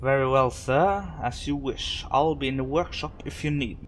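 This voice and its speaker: A man's voice: mid-range voice, normal speed, a little hiss or hum, close to an everyday voice. Spaceship dock attendant , male actor, ordinary middle register, dutiful but wary, plain delivery for an audio drama. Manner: plain-spoken, deferential, guarded.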